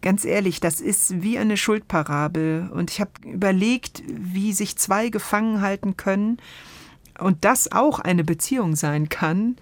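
A woman hosting a radio drama podcast, talking casually and thoughtfully about plays, often pausing to search for words. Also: medium pitch, no echo.

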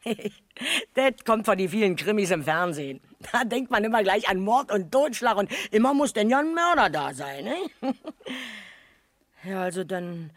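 An actress playing an excitable, talkative neighbourhood witness with a Berlin accent in a 1970s German radio crime drama. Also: female voice, mid-range voice, normal speed, no echo, lightly acted. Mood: puzzled, chatty. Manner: colloquial, garrulous, emphatic. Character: chatty, excitable female neighbourhood witness, eager amateur sleuth.

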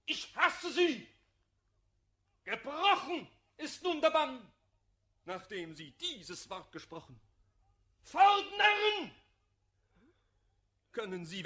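An actor playing a proud, wounded idealist in love, declaiming classical German verse in a radio drama. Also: male voice, extremely high pitch, pushed and bright, full character voice. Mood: furious. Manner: declamatory verse delivery, measured and emphatic.

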